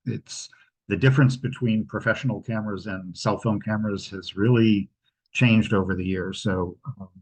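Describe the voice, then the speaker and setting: Low-pitched man with a husky voice. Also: fairly even intonation, normal speed, a little mellow. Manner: hesitant, thinking aloud. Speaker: travel presenter. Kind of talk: informal question-and-answer after a travel slideshow. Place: indoors at home, on a video call. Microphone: video call microphone.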